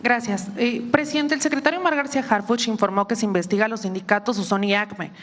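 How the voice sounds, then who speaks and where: A woman, a reporter at a press conference reading out a long, detailed question briskly. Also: mid-range voice, a bit bright, a little hiss or hum.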